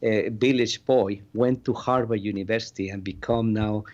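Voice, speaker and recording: Mexican-born male physician guest, low voice, earnest storytelling, dull lo-fi audio. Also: fairly even intonation, moderate pace, a little mellow. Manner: earnest, non-native grammar, frequent restarts. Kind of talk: interview storytelling. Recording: dull lo-fi sound.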